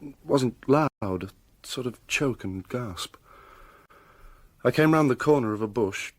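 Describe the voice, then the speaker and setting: A man's voice: medium pitch, expressive, measured pace, dry recording. An actor playing an earnest, guilt-ridden young man in love, in a radio drama. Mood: troubled, confiding.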